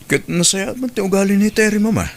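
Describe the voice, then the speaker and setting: A man's voice: high-pitched, animated, fast. A man playing an earnest, polite young man in an audio drama, pleading for his girlfriend.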